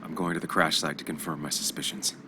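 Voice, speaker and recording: A man's voice: a bass voice, steady tone, quick pace, bright, forceful tone, slight background noise, heavily acted. An actor playing a brooding, guarded journalist in a video game, analytical and understated in his delivery. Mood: resolute. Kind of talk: video game voice acting with muttered inner monologue. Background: music.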